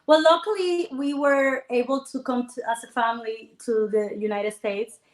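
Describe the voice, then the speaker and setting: A woman's voice: on the high side, normal speed. Woman from the Dominican Republic, echoey room. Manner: lively storytelling, animated quoting. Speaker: guest entrepreneur. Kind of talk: conversational interview.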